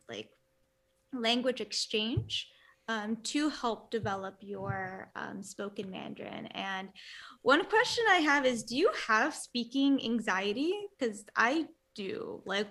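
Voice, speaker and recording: A woman's voice: on the high side, sharp tone. A young woman from the United States who grew up speaking Romanian, hosting a chatty interview on a headset from a small room, with many um fillers. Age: young adult. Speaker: host. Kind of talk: casual video-call interview.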